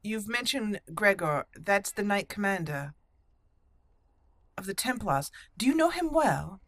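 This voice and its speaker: A woman's voice: medium pitch, big pitch swings, measured pace, fairly bright tone, dry recording. A woman hosting a video game roleplay let's play, talking in a conversational, explanatory way. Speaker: gaming channel host. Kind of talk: roleplay let's play commentary, reading character dialogue in between.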